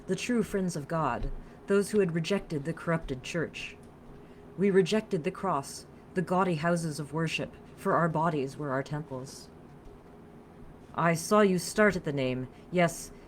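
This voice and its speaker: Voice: mid-range voice, a little hiss or hum, natural voice, poor audio. A narrator voicing an earnest, solemn female vampire in a story, with a flowing, formal French accent.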